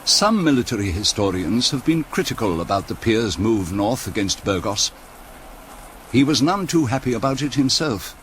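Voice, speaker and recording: An actor narrating a historical military radio drama in a measured, scripted style with a husky voice. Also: male voice, mid-range voice, very animated, fairly bright tone, slight background noise.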